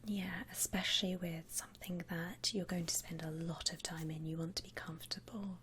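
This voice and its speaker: A woman voicing a friendly, attentive vampire saleswoman in a gentle, breathy ASMR whisper, close to the mic. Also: fairly low pitch, steady tone, a little hiss or hum, natural voice. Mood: friendly, soothing. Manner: gentle, reassuring, chatty customer-service talk with pauses. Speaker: ASMR roleplay performer. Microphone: close ASMR microphone.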